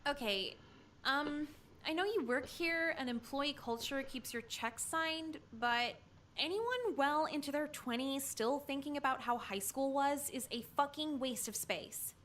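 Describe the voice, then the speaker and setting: Cynical teen girl for a visual novel: higher-pitched, forceful, deadpan snark. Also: a little slow, a little hiss or hum. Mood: sardonic. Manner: sarcastic, deadpan, snide. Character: cynical foul-mouthed teenage girl high school student. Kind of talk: visual novel game voice acting.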